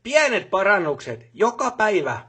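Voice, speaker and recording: An actor playing a businesslike male company boss in a video game, talking matter-of-factly in corporate jargon and brisk orders. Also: high-pitched, big pitch swings, fairly bright tone, breathy edge, full character voice. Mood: zealous, motivational. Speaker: boss instructing employees. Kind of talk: video game character dialogue.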